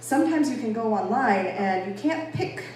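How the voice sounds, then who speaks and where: A woman's voice: fairly high pitch, expressive, normal speed, darkish tone. Echoey American woman giving a talk. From Boston, United States. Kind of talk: informal public talk to an audience. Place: echoey indoor venue with an audience. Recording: echoey room, distant mic, faint noise.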